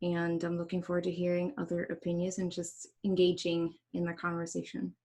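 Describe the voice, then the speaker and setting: A woman's voice: on the low side, completely flat delivery, fairly quick, a little mellow. Woman on a video call. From Germany. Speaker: panelist. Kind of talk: online forum introduction.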